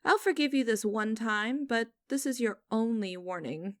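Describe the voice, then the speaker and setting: A woman playing a stern, mocking young company boss in a scripted audio roleplay, speaking in a formal, deliberate and condescending way. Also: a little high, animated, no room sound, studio quality, natural voice. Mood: stern, commanding.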